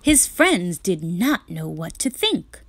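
A woman reading a children's picture book aloud as a storyteller, expressive and dramatic, sometimes dropping to a whisper for emphasis. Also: on the low side, takes it slowly, dry recording.

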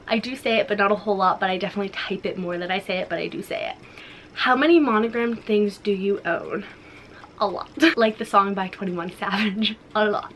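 A woman's voice: medium pitch, a bit bright, slight background noise. A young woman from the US Midwest hosting a chatty sit-down YouTube video from her home office, bubbly and upbeat, full of "like" and "so much fun". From Ohio, US Midwest. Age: young adult. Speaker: lifestyle YouTuber. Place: home office, indoors, small room.

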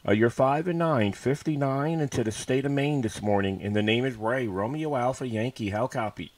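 A man's voice: mid-range voice, moderate pace. A man from the USA making casual amateur radio contacts, using callsigns and radio phrases and often repeating himself. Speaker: amateur radio operator and livestream host. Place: indoors at a computer. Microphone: studio microphone connected to PC.

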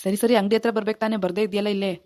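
Harried young husband, acted by a woman: very quick delivery, plaintive, easily swayed, family drama. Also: medium pitch, level tone, a little mellow, voice pushed down, lightly acted. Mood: pleading. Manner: pleading, complaining, quick to give in. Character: put-upon young husband running a small tea stall. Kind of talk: amateur domestic drama acting.

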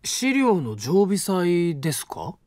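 An actor playing a polite, gentle young college student who cooks and patiently explains recipes. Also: male voice, high-pitched, sing-song, slow pace, dry recording, clean studio sound, heavily acted. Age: young adult. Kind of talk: studio audio drama. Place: dry studio.

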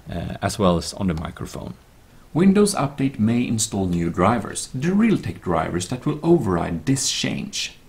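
Man, low creaky voice, lively pitch movement, instructional tutorial voice-over, plain-spoken. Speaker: tutorial presenter. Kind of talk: step-by-step software tutorial narration. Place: voice-over screen recording.

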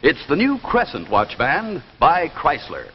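A man's voice: on the high side, wildly swooping pitch, unhurried, big-room sound, slight background noise. A young man giving a polished, salesmanlike scripted announcement in the style of 1950s television advertising. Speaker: announcer and commercial pitchman. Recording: dull 1950s television soundtrack with music.

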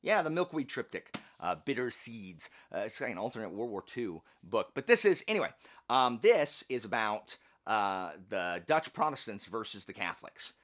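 A man's voice: lowish voice, normal speed. A man hosting a book channel, chatting casually and emphatically in colloquial speech with self-deprecating humour. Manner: animated, emphatic. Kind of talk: casual chatty vlog talk about books.

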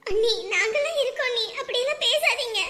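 A woman's voice: very high voice, fast talker, pushed and bright, roomy sound. A woman playing a sympathetic young sister-in-law in a family drama, with a consoling, innocent manner. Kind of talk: melodramatic family drama acting.

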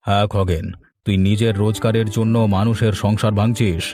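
Male actor as stern village authority, firm, low-pitched, dark tone, cartoon delivery. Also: steady tone, a little fast, soft background music, lightly acted. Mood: angry scolding. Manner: commanding, firm. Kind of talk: Bengali cartoon voice acting.

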